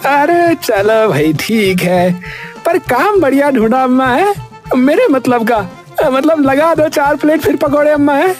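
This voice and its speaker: Gluttonous village fellow, played by a woman, very high voice, chatty, pushy, exclamatory, cartoon story, music behind. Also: expressive, measured pace, a little mellow. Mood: curious, eager. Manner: folksy, exclamatory, repeats himself. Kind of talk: Hindi cartoon story acting.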